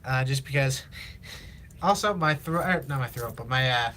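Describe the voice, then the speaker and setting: Young streamer with a bright, slightly raspy voice. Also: male voice, mid-range voice, a little hiss or hum. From Illinois, USA. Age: teens. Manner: rambling, halting, many fillers and restarts. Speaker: livestreamer. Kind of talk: casual live-stream chat while building.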